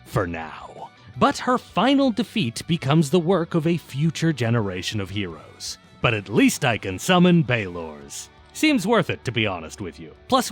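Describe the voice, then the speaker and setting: A man narrating a scripted but conversational video essay in a wry, casual, emphatic way with some swearing. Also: mid-range voice. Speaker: video essayist and game reviewer.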